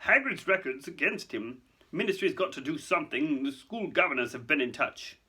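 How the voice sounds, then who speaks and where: A man performs a portly government minister from a storybook. His voice is raised and bright, with clipped, fussy phrases and anxious self-importance. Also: high-pitched, expressive, fairly quick, voice pushed up, exaggerated voice. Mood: uncomfortable.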